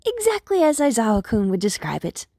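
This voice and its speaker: A woman's voice: fairly high pitch, wildly swooping pitch, a little fast, dark tone, dry recording, clean studio sound, voice pushed up, heavily acted. A woman voicing a blithe, inquisitive male animal principal. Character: cheerful, shrewd small animal school principal, male.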